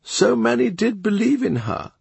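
A man doing a frail old bishop, high and mild. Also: moderate pace, vocal fry, darkish tone, breathy edge, no room sound, heavily acted. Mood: mild, earnest. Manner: mild, pleading, sad. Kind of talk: audiobook character reading.

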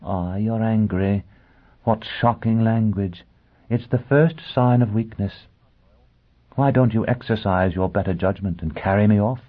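Storybook noblewoman played by a male narrator: lifted pitch, husky breath, amused hauteur, icy and fierce, on a slightly dull recording. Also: a little slow. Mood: taunting, amused. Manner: amused, haughty, theatrical.

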